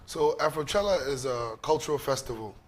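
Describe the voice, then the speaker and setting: A man from Ghana and New York giving explanatory interview answers as an executive guest, in short, deliberate phrases. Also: medium pitch, animated.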